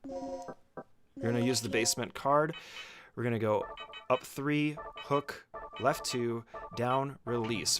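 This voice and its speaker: A man's voice: mid-range voice, a bit bright. Man giving casual gameplay walkthrough over music. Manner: informal, occasionally swearing, step-by-step instructions. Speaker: speedrunner giving a tutorial. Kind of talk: casual instructional gameplay commentary.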